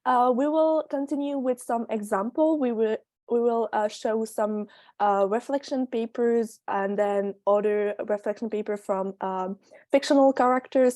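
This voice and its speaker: A woman's voice: a little high, mellow, dry recording, clean studio sound. A sixteen-year-old girl from Belgium hosting an online meeting, talking hesitantly with many fillers. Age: teenager, sixteen. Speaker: meeting host presenting slides. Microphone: video call.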